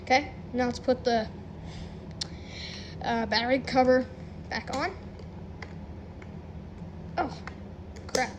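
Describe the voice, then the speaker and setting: A woman with a high voice talks slowly and haltingly, as if to herself, while narrating an unboxing. Her phrases are short and casual. The handheld home recording carries clear noise. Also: steady tone, highs cut off. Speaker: unboxing video host. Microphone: handheld camera or phone, no tripod.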